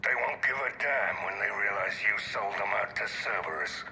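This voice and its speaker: An actor playing a gruff, cynical older mercenary in a video game, gravelly and curt. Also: male voice, on the low side, animated, normal speed, sharp tone, a little hiss or hum, rough recording. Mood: gruff, irritable. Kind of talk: dramatic game voice acting.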